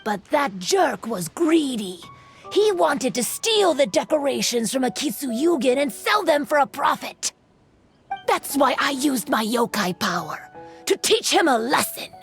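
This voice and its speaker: A young female spirit in a video game, fairly high in voice. They are earnest and guarded, with a wistful streak. Faint music sits underneath. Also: very animated, unhurried, full character voice. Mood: earnest, defensive. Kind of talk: animated video game voice acting.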